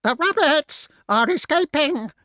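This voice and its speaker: A sneaky tattletale crow from a storybook. The voice is pitched very high, shrill and whining, with wide swoops. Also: expressive, measured pace, bright, forceful tone, no echo, studio quality, heavily acted. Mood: shrieking alarm.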